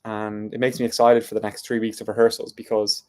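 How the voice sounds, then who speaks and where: A man's voice: fairly low pitch, flat delivery, brisk, warm tone. An Irish man talking through an unscripted, reflective vlog monologue in a thoughtful, meandering way. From Ireland. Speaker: vlogger.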